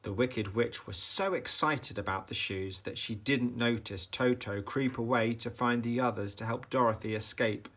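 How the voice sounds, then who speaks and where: A man reading a children's bedtime story aloud with dramatic, deliberate emphasis for young listeners. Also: medium pitch, a bit bright. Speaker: storybook reader, teacher.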